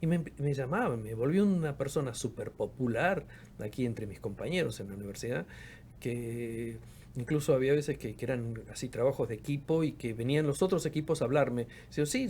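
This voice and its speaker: A man's voice: mid-range voice, a little mellow, slight background noise. A man in his fifties from Argentina's Patagonia, a researcher and professor, speaking reflectively as an interview guest and often repeating words as he searches for them. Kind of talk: casual interview conversation.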